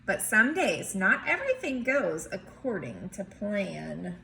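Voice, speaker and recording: A woman reading a storybook aloud in an expressive, emphatic way, with chatty asides as if to young children. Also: mid-range voice, slow, creaky, slight background noise, low-quality recording. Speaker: teacher or reader reading a picture book aloud.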